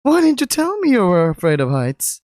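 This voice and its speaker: A man voicing a cheerful, eager young man who acts as a tour guide, with a bubbly, excitable manner. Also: high voice, a little fast, dry recording, clean studio sound, voice pushed up. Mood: concerned.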